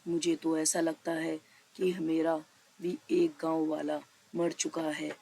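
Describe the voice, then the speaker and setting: A woman's voice: low voice, level tone, slow, vocal fry, dark tone, slight background noise, pitched below the performer's own voice, full character voice. A woman voicing a cautious, self-protective village headman in a cartoon story, with a resigned, repetitive way of giving orders. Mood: urging.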